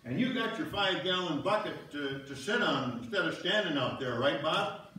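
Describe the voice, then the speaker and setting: A man's voice: on the high side, animated, normal speed, pushed and bright, slight background noise. Man as hearty eager fisherman, echoey hall. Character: Murph, eager, easygoing beer-loving ice fisherman. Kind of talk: amateur reader's theater, read from script. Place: on stage in a church, audience seated. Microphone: far camera. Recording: echoey church hall, distant camera.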